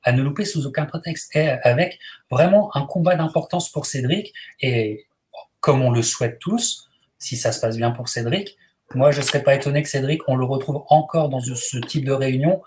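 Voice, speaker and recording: A man's voice: medium pitch, normal speed, a little mellow, slight background noise. Male host, casual live show, upbeat hype man, joking. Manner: enthusiastic, hyping and joking.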